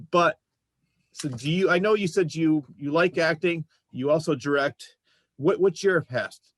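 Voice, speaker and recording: A man's voice: on the high side, slow pace, pauses often, fairly bright tone, dry recording. A man hosting a casual interview, talking in an encouraging, complimentary way. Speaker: show host, interviewer.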